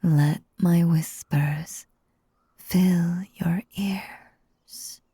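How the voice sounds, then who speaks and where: Audio roleplay: possessive stage magician, sinister and sweet, female performer, unhurried, breathy to whispering, close and dry, theatrical pauses. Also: on the low side, fairly even intonation, mellow, dry recording, full character voice. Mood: hypnotic, whispery. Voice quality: breathy, often slipping into whispers. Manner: theatrical, coaxing, drawn-out phrasing with dramatic pauses. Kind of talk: intimate roleplay audio acting, ASMR-like.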